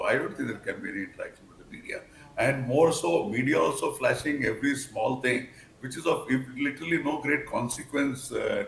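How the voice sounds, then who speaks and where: An older man with an Indian English accent giving formal, measured, wordy commentary as a news panel expert. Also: mid-range voice, gravelly, a bit bright. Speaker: expert guest, former senior police officer. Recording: echoey room, faint background noise.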